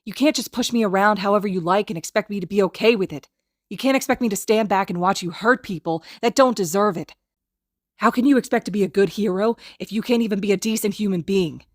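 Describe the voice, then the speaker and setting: A woman reading a fanfic aloud, voicing a gentle teenage boy who shouts back in angry, pleading defiance. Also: mid-range voice, fast talker, no room sound, studio quality, voice pushed up, close to an everyday voice. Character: gentle teenage boy healer, pushed to anger.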